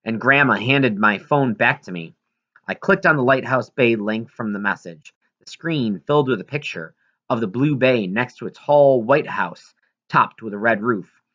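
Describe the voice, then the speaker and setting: A man's voice: medium pitch, moderate pace, bright, forceful tone, dull audio. A man reads a children's novel aloud as scripted audiobook narration. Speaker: audiobook reader.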